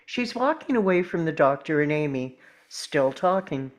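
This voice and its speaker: Lowish-voiced woman reading stage directions. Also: lively intonation, normal speed. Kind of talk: scripted narration, present-tense screen directions.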